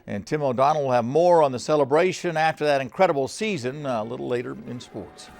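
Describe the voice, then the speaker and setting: A man's voice: mid-range voice, quick pace, gravelly, darkish tone. A man reading scripted television news as an anchor in a studio.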